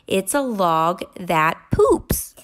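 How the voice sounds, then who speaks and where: A woman's voice: fairly low pitch, wildly swooping pitch, extremely slow, fairly bright tone, heavily acted. A woman voicing a cheerful, knowing mother talking to her child in a picture book. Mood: playful, reassuring.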